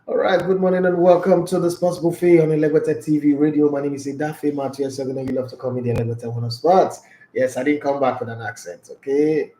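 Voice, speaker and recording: A man's voice: on the high side, steady tone, a little fast, dark tone. A man with a Nigerian accent hosting a sports talk show, chatty and boastful, with pidgin asides. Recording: roomy echo.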